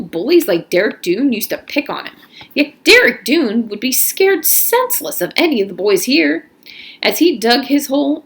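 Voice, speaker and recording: Animated woman reading a story aloud. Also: mid-range voice. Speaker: read-aloud narrator. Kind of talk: storybook read-aloud narration.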